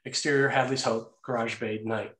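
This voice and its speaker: A man's voice: medium pitch, steady tone, moderate pace, a bit bright. A man narrating action and scene headings from a screenplay in a scripted read. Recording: roomy, echoey sound.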